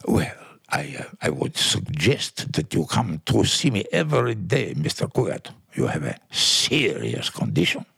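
A man playing a fussy, excitable, pompous psychiatrist with a raspy voice and stammering repetitions, in 1940s radio comedy style. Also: sits low, unhurried, no echo, clean studio sound, exaggerated voice. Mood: grave, confidential. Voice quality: raspy, creaky. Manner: fussy, stammering, repeating words.

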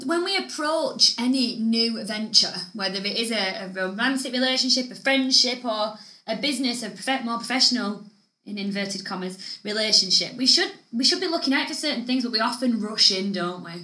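A woman co-hosting a podcast in casual chat, a little nasal, chatty and animated, laughing often. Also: fairly high pitch, normal speed, roomy sound, low-quality recording. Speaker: podcast co-host.

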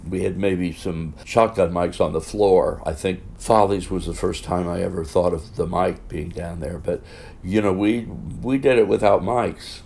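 Older male guest, low and loud, animated raconteur. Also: moderate pace, gravelly, a little hiss or hum. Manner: animated storyteller, exclaims. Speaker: guest, veteran musical performer. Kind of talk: conversational interview.

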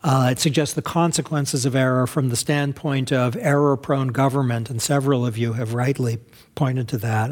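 A man's voice: mid-range voice, a little mellow. A man from the United States answering audience questions at a lecture, thoughtful and hesitant, with many fillers and restarts and frequent rhetorical questions. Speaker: academic speaker responding to questions.

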